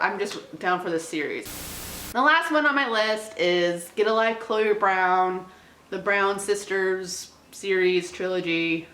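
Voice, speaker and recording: A woman's voice: medium pitch, pushed and bright, slight background noise. A woman book vlogger chatting casually to the camera in an informal, slangy, hedging way. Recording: roomy indoor echo.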